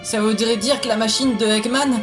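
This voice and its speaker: A woman voicing a gentle, bewildered young boy sidekick, a cartoon animal, in a fan dub. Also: on the high side, fairly even intonation, moderate pace, over music, close to an everyday voice.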